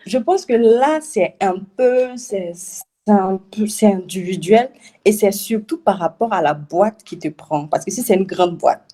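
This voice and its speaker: Hesitant, mellow-voiced woman guest. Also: medium pitch, lively intonation, measured pace. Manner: hesitant, repeats words, laughs often. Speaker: web writer, interview guest. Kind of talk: casual interview answers.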